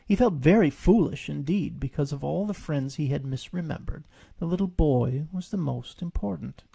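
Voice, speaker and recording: A man narrating his own written story in a scripted storybook style, with a gravelly voice. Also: on the high side, moderate pace, warm tone, dry recording.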